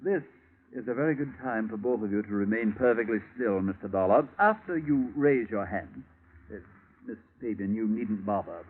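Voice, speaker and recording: A man's voice: fairly low pitch, expressive, normal speed, a little hiss or hum, lightly acted. Suave, corrupt British inspector, male actor, polite menace with wide melodic swings, 1940s radio drama, thin narrow-band sound. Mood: gloating, mocking. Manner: urbane, mocking, elaborately polite. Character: suave, smug, corrupt male British police chief villain. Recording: tinny, narrow-band vintage radio recording.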